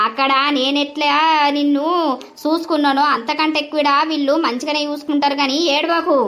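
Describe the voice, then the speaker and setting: A woman's voice: high-pitched, steady tone, brisk, fairly bright tone. An actress playing a worried village mother who consoles her crying son. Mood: soothing. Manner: consoling, tearful pleading. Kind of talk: village drama acting.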